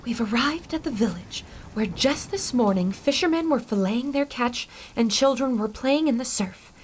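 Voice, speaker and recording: An actress playing a brave female crew member, speaking in a brisk, earnest way. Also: on the high side, lively intonation, a little hiss or hum, lightly acted, rough recording.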